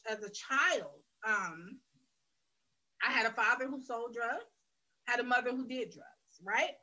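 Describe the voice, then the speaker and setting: A woman's voice: on the high side, lively intonation, unhurried, bright, forceful tone, low-quality recording. A woman, a guest on a faith interview, telling her life story earnestly and candidly, often restarting her phrases. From Detroit, Michigan, USA. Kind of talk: personal testimony in a faith interview.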